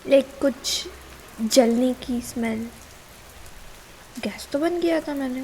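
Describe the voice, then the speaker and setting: A woman's voice: high voice, takes it slowly, creaky, a little mellow, exaggerated voice, low-quality recording. A young woman with an Indian accent playing a caring but easily exasperated, nagging girlfriend in a casual ASMR roleplay, mixing two languages. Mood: suspicious. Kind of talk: ASMR girlfriend roleplay, Hindi mixed with English. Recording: hiss and rain sound behind.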